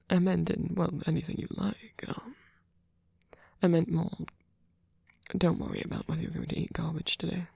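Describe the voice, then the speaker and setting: A performer voicing a sweet, doting male vampire boyfriend in a breathy, hesitant ASMR whisper. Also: medium pitch, dry recording. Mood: tender, fussing. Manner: hesitant, halting, rambling, with soft filler murmurs. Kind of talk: ASMR roleplay, soft whispered comforting.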